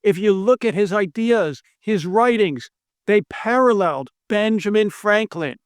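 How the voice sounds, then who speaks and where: American economist guy, kinda high voice, dry close sound, keeps pushing the same point. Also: lively intonation, unhurried, no echo. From United States. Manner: emphatic, repeats his key claims, stumbles over foreign names. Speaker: monetary economist presenting a video lesson. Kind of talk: earnest persuasive talk to camera. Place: indoor room with brick and stone walls.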